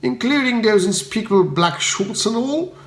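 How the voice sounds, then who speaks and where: A man voicing an excitable, indignant young upper-class English gentleman in a comic audiobook, florid and exclamatory. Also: high-pitched, normal speed, echoey room, voice pushed up.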